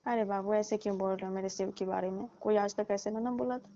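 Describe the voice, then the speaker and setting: A woman's voice: mid-range voice, fairly even intonation, fast, dark tone, close to an everyday voice. A woman playing a chatty, defensive fruit-seller auntie in a comic phone call, talking colloquially and repeating herself. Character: chatty, defensive middle-aged auntie who sells fruit. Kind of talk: staged comic phone conversation, casual chat. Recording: muffled lo-fi call recording with hiss.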